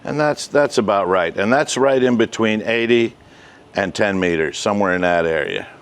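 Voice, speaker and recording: An older man in his sixties giving a casual step-by-step tutorial outdoors as host, with halting, deliberate delivery. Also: on the low side, clear, resonant tone. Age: 60s. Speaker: host, ham radio hobbyist. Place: outdoors, residential backyard and covered patio.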